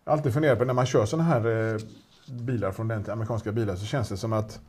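Rambling husky-voiced man. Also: lowish voice, darkish tone. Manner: chatty, rambling with restarts and fillers. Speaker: auction specialist presenting a car. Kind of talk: casual chat.

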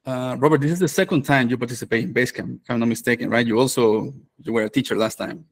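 A man, hosting a live online course on a video call, relaxed and full of fillers. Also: medium pitch, fast. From Venezuela. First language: Spanish. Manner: relaxed, many fillers and restarts. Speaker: developer advocate and course host.

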